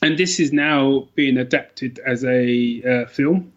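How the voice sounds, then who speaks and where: A man's voice: mid-range voice, slow. Man chatting informally, slightly dull audio. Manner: informal and colloquial. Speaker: podcast guest. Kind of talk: casual book chat.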